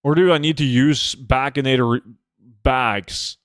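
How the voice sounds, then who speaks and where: A man's voice: mid-range voice, fairly even intonation, measured pace, a bit bright, no room sound, clean studio sound. A man from Norway, chatting casually and bluntly as he livestreams a game, swearing freely. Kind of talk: casual livestream chat while gaming.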